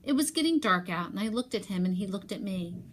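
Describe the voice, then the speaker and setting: Female primary teacher for children's read-aloud. Expressive storytelling delivery, emphatic pauses, mid-range voice. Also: mid-range voice, slight background noise. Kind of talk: animated picture-book read-aloud and talk to pupils.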